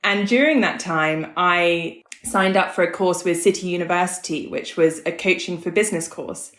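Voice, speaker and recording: A woman's voice: fairly low pitch, normal speed, a bit bright. A woman in her thirties or forties who grew up in Hong Kong, telling her story candidly and casually as a podcast guest. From born in the US, grew up in Hong Kong. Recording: roomy, echoey sound.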